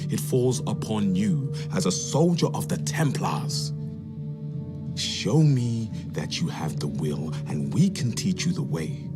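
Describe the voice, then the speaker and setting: An actor playing an urbane, dryly sardonic senior Templar officer in a video game, speaking formally. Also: male voice, sits low. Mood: grave, commanding. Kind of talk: scripted video game dialogue.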